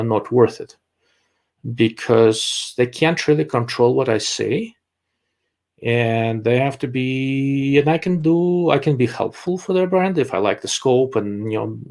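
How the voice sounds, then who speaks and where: A man hosting a live stream, reading viewer questions and answering them bluntly while thinking aloud with frequent hesitations. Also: mid-range voice. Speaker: livestream host answering viewer chat. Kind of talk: casual live-stream chat.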